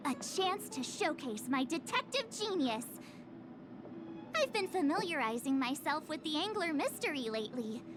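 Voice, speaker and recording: Very high, perky game girl. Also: very animated, moderate pace, noisy background. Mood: excited, confident. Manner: bubbly, exclamatory, eager. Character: perky, boastful young girl adventurer, playing detective. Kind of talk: video game voice acting.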